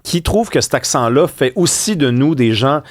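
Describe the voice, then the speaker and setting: Lively Québécois male radio host. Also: medium pitch, moderate pace, dry recording, clean studio sound. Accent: Québécois French. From Quebec. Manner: animated, questioning, rhetorical. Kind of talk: talk radio discussion.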